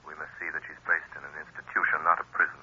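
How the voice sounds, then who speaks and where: An actor playing a grave, commanding man in an old radio drama, speaking low, firm and serious. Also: level tone, rapid-fire, pushed and bright, slight background noise, exaggerated voice. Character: grave, commanding adult male hero. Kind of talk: 1930s radio drama acting. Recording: dull lo-fi old radio recording.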